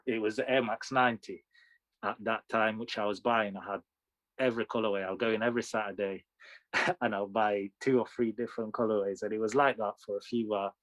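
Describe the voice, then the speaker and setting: A man in his thirties, originally from Sudan, speaks as a guest in an interview. His voice sits a little low. He tells his story in a casual, conversational way, recorded close and dry with very little room sound. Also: fairly even intonation, brisk, fairly bright tone, dry recording, low-quality recording. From Sudan, moved to the UK when young. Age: 30s. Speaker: interview guest, sneaker collector.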